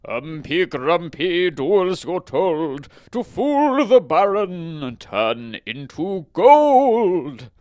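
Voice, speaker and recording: Man putting on a loud, high-voiced wizard. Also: very animated, no room sound, heavily acted. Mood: incantatory. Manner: loud, slow, raised, incantatory voice. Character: storybook wizard, commanding spell-caster.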